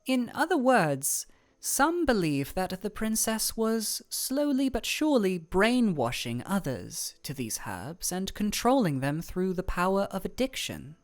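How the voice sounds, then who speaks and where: A woman playing a cool, composed young ice royal with dry wit in a fantasy audio roleplay, speaking in a measured, formal, teasing way. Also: medium pitch, very animated. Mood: cool, questioning.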